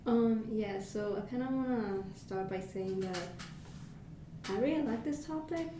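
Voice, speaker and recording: A young woman from Bolivia, a native Spanish speaker, chatting eagerly on a student podcast with many fillers. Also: mid-range voice. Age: young adult. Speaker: student co-host. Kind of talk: casual podcast chat. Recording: distant mic, echoey room, noise.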